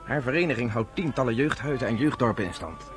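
An actor playing an earnest, self-assured young man of the underground in a Dutch radio play, with a measured way of explaining things. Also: mid-range voice, lively intonation, faint music behind, close to an everyday voice.

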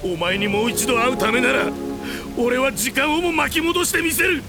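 An actor playing a desperate young man who shouts to a dead girl in a drama CD. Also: extremely high pitch, moderate pace. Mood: frantic. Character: grief-mad young man calling to the dead. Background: music, rain and thunder.

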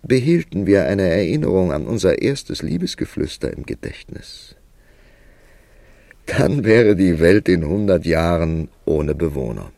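A world-weary writer telling his story in a radio play. His voice is very low and gravelly, and he takes his time with a dry, ironic, literary delivery, recorded close and dry. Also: big pitch swings, dark tone, dry recording, heavily acted. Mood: wry, reflective. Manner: measured, wry, literary storytelling. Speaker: narrator. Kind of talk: German literary radio drama narration.

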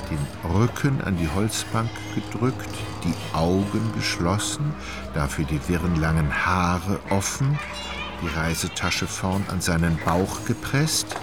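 A man narrating a literary radio drama in an ornate, measured storytelling manner. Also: low-pitched.

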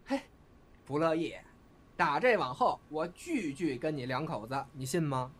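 Man playing a lively, cheeky young crosstalk comedian. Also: on the high side, a little slow. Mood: playful teasing. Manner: theatrical, teasing, playful. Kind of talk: crosstalk comedy dialogue in audio drama. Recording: loud background noise.

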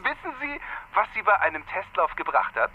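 Mocking young army lieutenant on a muffled phone, swoopy higher voice, radio drama. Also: male voice, sing-song, brisk. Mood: mocking, incredulous. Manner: mocking, incredulous. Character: scornful young British army lieutenant, gossiping over the phone. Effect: telephone filter. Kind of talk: German radio drama acting. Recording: muffled phone line, faint noise.

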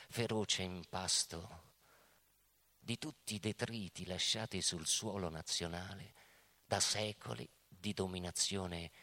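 A man giving a deliberate, dramatic scripted narration in a radio theatre piece. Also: deep voice, pushed and bright. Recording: music playing behind the voice. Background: music.

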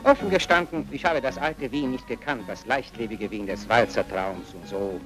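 A man's voice: mid-range voice, moderate pace, music in the background, close to an everyday voice, poor audio. An actor playing a wry, worldly man who narrates a radio drama in an ironic, conversational way.

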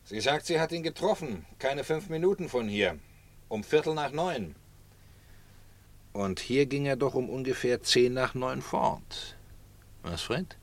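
An actor playing a sly, ironic snack bar regular who teases and insinuates, in a German radio drama. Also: male voice, mid-range voice, lively intonation, measured pace. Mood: pointed.

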